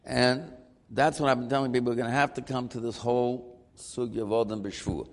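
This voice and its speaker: A man giving a Talmud lecture, his voice pitched in an ordinary middle range. He talks in a lively, questioning way, and a long hall reverb trails behind each phrase. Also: brisk. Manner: animated, questioning, mixing Hebrew terms. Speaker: Talmud teacher.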